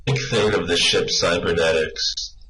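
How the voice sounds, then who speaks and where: A man's voice: low voice, steady tone, unhurried, sharp tone, poor audio. A man playing a cautious, inquisitive space traveller in a science fiction radio play. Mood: calm, dry.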